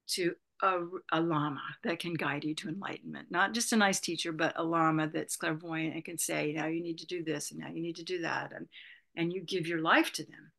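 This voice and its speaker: Gravelly, bright-voiced older woman. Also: on the low side. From Berkeley, California, USA. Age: 60s. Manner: rambling, restarts often, many "you know"s. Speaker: interview guest, Buddhist retreat leader. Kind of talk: reflective casual conversation.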